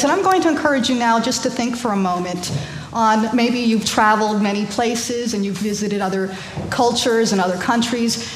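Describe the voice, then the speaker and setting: Woman from Korea, fairly high voice, hesitant with ums, speaking to a congregation, echoey church hall, faint noise. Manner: chatty and hesitant, many ums and restarts. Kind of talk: informal sermon talk to a congregation.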